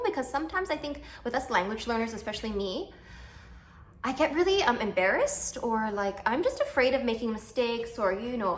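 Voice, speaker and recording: A woman's voice: a little high, a bit bright, slight background noise. A woman in her thirties from Canada, chatting casually to camera in a vlog, self-deprecating and full of fillers. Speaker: vlogger.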